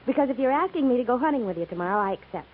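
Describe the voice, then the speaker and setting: An actress playing a coy, homespun storekeeper's daughter in a 1950s radio western, gently teasing. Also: female voice, fairly high pitch, lively intonation, rapid-fire, natural voice. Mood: flustered, glad. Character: warm, teasing, capable frontier storekeeper's daughter. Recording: narrow-band, noisy 1950s radio recording.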